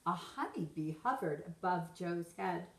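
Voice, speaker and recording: Slow, echoey woman reading a storybook. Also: fairly low pitch, animated, a bit bright. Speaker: teacher reading aloud to children. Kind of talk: expressive storybook narration in rhyme. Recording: roomy echo.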